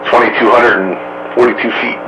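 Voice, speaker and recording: A man's voice: medium pitch, steady tone, fairly quick. A man chatting casually with other operators over a two-way radio. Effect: two-way radio transmission. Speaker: radio operator in a group chat. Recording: very loud radio noise.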